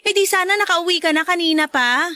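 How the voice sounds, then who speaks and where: A woman playing a feisty, protective ex-wife and mother in a radio drama, sharp-tongued and nagging. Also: very high-pitched, brisk, dry recording. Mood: irritated, scolding. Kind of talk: Tagalog radio drama acting.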